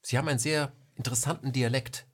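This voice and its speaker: A man's voice: medium pitch, steady tone, slightly rough. A man hosting an interview show, asking questions and responding often with short affirmations. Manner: fast, with frequent "mhm" backchannels. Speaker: interview host.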